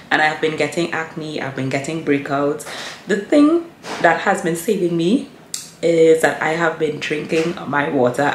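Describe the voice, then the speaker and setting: A woman in her thirties chatting casually to the camera as a lifestyle vlogger, talking straight to her viewers. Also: sits low. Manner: chatty, addresses viewers as y'all. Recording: echoey room with faint hiss.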